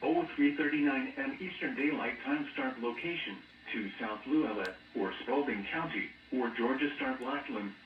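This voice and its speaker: A muffled American male weather radio voice, heard at a distance through a speaker in an echoey room with clear noise. It reads a scripted bulletin mechanically, like an automated announcer. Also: medium pitch, normal speed, hardly any pauses, faint music behind. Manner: flat, mechanical synthetic delivery, reading out codes and figures. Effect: weather radio broadcast.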